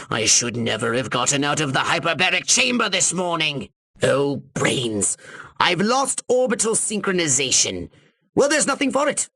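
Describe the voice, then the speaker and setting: An actor playing a pompous, scheming alien commander in a video game, theatrical and scolding with a raspy voice. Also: male voice, very high voice, sharp tone, dry recording, studio quality, exaggerated voice. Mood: dismayed. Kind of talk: video game character voice lines.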